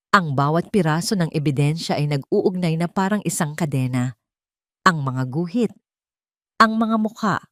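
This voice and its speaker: Low-voiced Filipino woman narrating drama, close and dry. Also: a little mellow, no echo. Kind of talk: dramatic first-person scripted story narration.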